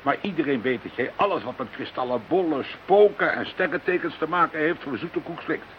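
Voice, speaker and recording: An actor playing a stubborn, down-to-earth, sceptical policeman in a Dutch audio drama, with a gruff, sardonic way of talking. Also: a little high, expressive, fairly quick, lightly acted. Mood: scornful. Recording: dull, noisy, hissy old radio recording.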